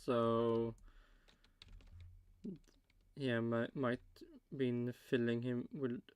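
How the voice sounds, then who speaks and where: A man hosting a casual reaction video, talking haltingly with many fillers as he counts aloud. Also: medium pitch, level tone, darkish tone, a little hiss or hum. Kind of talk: casual commentary while watching.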